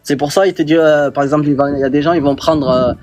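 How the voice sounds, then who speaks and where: A man's voice: a little high, fairly even intonation, quick pace, over music. Hesitant man explaining religion, stumbling. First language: Arabic. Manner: hesitant, many fillers and repeated words. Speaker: guest debater. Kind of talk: casual religious debate.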